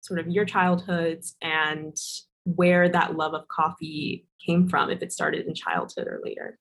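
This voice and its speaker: A woman's voice: sits low, big pitch swings, unhurried, gravelly, a bit bright, breathy edge. A woman co-hosting a show and putting conversational questions to guests. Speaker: co-host, interviewer.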